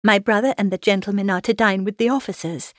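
A woman's voice: mid-range voice, fast, dry recording, studio quality, lightly acted. A woman voicing a genteel young society lady, arch and formal, in a letter read aloud from a classic novel. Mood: affected, sociable. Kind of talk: dramatised classic novel reading.

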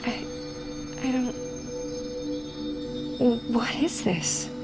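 A guarded young woman, speaks slowly in a high, expressive voice. She is halting and exasperated, in a naturalistic audio drama with music underneath. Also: heavily acted. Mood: shaken, guarded. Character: guarded, hurt young woman rejecting a frightening friend. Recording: loud music bed under voice.

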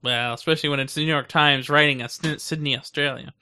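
A man's voice: a little high, fairly even intonation, quick pace, bright, forceful tone, slight background noise. A man co-hosting a podcast in casual, conversational back-and-forth. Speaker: podcast co-host. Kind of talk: casual chat.

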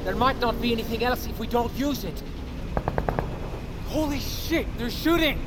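An alarmed crew member in audio fiction, urgent and panicky. The voice is strained extremely high while still deferring to command, amid clear vehicle noise. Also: male voice, normal speed. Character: alarmed, deferential crewman reporting to his captain. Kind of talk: audio fiction drama acting. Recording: noisy vehicle sound effects behind.

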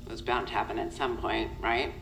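An actress playing a weary, remorseful senior agent recording a halting, wry confession in an audio drama. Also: female voice, extremely low pitch, fairly even intonation, a bit bright, a little hiss or hum. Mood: wry, irritated. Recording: roomy tape recording under music.

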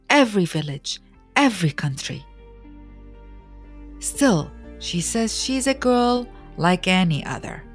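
A woman reading a scripted storybook biography as narrator, in a measured, expressive way with dramatic pauses. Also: fairly low pitch, slightly rough, no room sound.

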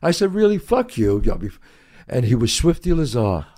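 Animated New storyteller in his 60s. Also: medium pitch, normal speed. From New York. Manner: animated storyteller who acts out quotes. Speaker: guest, actor and writer. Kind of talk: storytelling in an interview.

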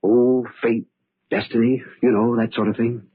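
An actor playing a grieving, quietly suspicious nephew in an old-time radio drama, asking probing questions. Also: male voice, mid-range voice, flat delivery, moderate pace, breathy edge, dry recording, close to an everyday voice. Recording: tinny, band-limited old radio recording.

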